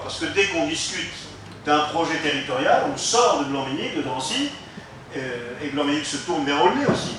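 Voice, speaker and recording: A man speaks from some way off in a strongly echoing room, with faint noise around him. He explains like a local politician, didactic and emphatic, pausing and searching for his words. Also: fairly high pitch. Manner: halting, emphatic, didactic with rhetorical questions. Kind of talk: unscripted explanatory speech to listeners. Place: reverberant room, recorded from some distance. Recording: very echoey room, distant mic, faint noise.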